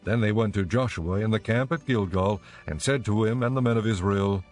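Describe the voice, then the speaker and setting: A man giving a measured, solemn scripted narration for a dramatized audio Bible. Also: fairly low pitch, a little mellow, soft background music.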